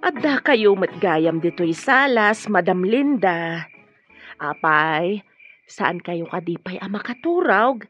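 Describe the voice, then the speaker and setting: A woman's voice: medium pitch, expressive, takes it slowly, a bit bright, soft background music. A woman playing a deferential, concerned household helper in an Ilocano radio drama, respectful and coaxing. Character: deferential, concerned female household helper, plain-spoken and curious. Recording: muffled radio drama recording, faint hiss.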